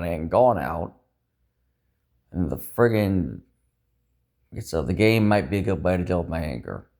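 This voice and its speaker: A man's voice: deep voice, vocal fry, a little mellow. A man rambling in a personal, confessional way, upset and dejected, venting with self-critical frustration and frequent swearing. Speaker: personal vlogger. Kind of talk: rambling confessional talk to camera.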